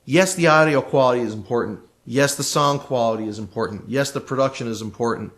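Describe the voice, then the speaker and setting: A man's voice: mid-range voice, fast, fairly bright tone. A man co-hosting a podcast in casual conversation, giving emphatic, opinionated advice. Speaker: podcast co-host, recording engineer.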